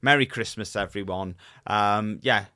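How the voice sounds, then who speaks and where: A man, casually co-hosting a podcast with his wife, animated and full of fillers and restarts. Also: lowish voice, unhurried, no echo, clean studio sound. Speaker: podcast co-host.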